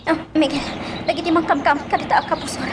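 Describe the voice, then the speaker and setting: A woman's voice: high-pitched, fairly even intonation, fast, noisy background, natural voice. A woman with an Ilocano accent playing an anxious, tender young woman on the run in a romantic radio drama. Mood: worried.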